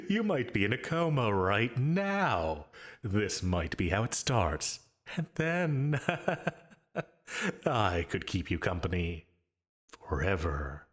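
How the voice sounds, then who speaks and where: Man playing a slow, taunting, possessive demon. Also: lowish voice, big pitch swings, husky, exaggerated voice. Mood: menacing, possessive. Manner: slow, drawn-out taunting with dark chuckles. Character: possessive male sleep paralysis demon, gleefully menacing, taunting. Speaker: voice actor in audio roleplay. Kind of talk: ASMR yandere roleplay, dramatic monologue.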